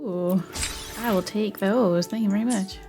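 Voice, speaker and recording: Female game streamer, mid-pitched, chatty casual talk to viewers, faint music underneath. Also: steady tone, moderate pace, vocal fry. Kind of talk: casual livestream chat while gaming.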